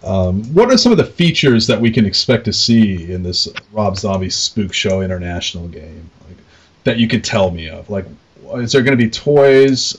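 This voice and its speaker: A man's voice: fairly low pitch, slightly rough, highs cut off. A man hosting a casual podcast interview over an internet call, rambling tentatively and repeating himself as he talks.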